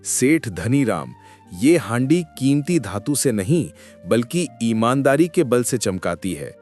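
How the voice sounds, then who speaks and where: A man playing a wise old traveller who solemnly admonishes in an animated moral story. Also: medium pitch, slow pace, no echo, faint music behind. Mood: warning.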